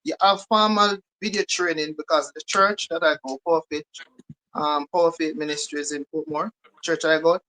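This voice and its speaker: A man's voice: on the high side, fairly even intonation, moderate pace, sharp tone, slight background noise, poor audio. A man speaking as a YouTuber guest in a casual chat, upbeat and promotional.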